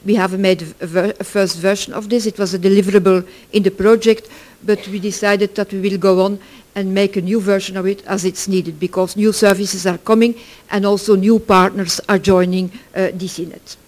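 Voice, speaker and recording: A middle-aged or older speaker from Belgium, giving an informal conference presentation in halting, self-correcting English. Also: medium pitch, little pitch movement, a little mellow. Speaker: project work package leader, conference presenter.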